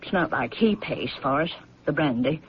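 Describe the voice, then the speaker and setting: A woman's voice: low voice, lively intonation, normal speed. An old family housekeeper in a radio play. She is blunt and disapproving, speaking her mind in plain, folksy phrases. Her delivery rises and falls freely, over a dull recording with a little noise. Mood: scornful, blunt. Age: older. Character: elderly gray-haired housekeeper, outspoken and disapproving. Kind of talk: radio drama acting.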